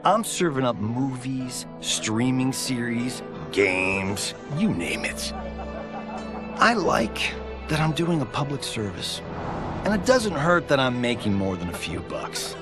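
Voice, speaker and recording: A cocky movie star turned fighter in a video game. He speaks slowly with big swings of intonation, showy and pleased with himself, with music underneath. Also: mid-range voice, big pitch swings. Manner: breezy, self-satisfied, showy. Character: cocky, vain male action-movie star turned champion. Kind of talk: casual scripted game narration. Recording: studio voice over music.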